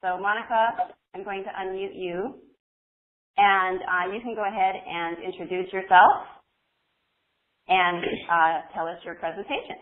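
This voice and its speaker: A woman's voice: medium pitch, steady tone, measured pace. A woman informally hosting and moderating an online panel. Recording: narrowband phone-like online call audio.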